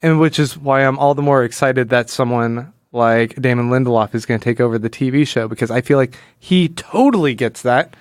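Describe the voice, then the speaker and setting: Clear-voiced man, casual podcast chat. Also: mid-range voice, a little fast, few pauses, dry recording, clean studio sound. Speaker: podcast host. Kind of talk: casual comic book analysis chat.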